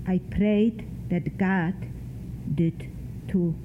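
Older woman reading slowly. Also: lowish voice, steady tone. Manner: deliberate, lingering on single words. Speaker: memoir author reading her work. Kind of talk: slow, measured literary reading. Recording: tinny phone-like sound, faint hiss.

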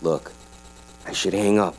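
A man reading aloud and voicing a guarded young husband answering in a flat, quiet, clipped voice. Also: low-pitched, unhurried, a little hiss or hum, poor audio. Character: guarded newly married man on the phone.

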